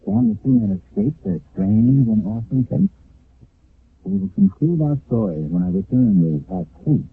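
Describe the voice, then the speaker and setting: A man hosting and narrating a radio mystery series in a measured, grave storytelling manner. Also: lowish voice, big pitch swings, slightly rough, no room sound. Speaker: series host. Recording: tinny dry old radio broadcast.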